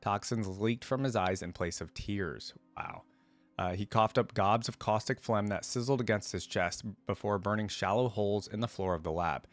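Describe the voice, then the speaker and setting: A man's voice: on the low side, animated, normal speed, faint music behind. A man hosting a reaction video, reading stories aloud and commenting on them in a casual, conversational way.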